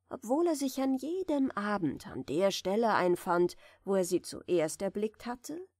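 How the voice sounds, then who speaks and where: A woman reading a fairy tale aloud as a bedtime audiobook, softly and soothingly, in a deliberately gentle manner. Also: fairly high pitch, vocal fry, dry recording, clean studio sound. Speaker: audiobook reader.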